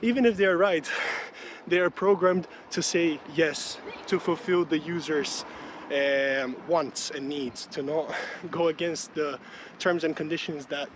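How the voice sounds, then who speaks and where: A man's voice: a little high, fairly even intonation, a little slow. A young Brazilian man in his twenties, a native Portuguese speaker, talking in a casual, musing vlog and laughing often as he walks outdoors. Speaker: student vlogger. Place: city street outdoors. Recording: street noise behind the voice. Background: traffic and city street ambience.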